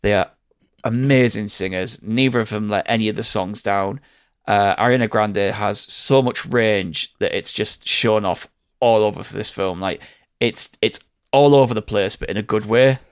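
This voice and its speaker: A man's voice: on the low side, normal speed, clear, resonant tone, dry recording, clean studio sound. A man with a British accent giving an enthusiastic, informal film review in casual conversation. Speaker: podcast host reviewing films.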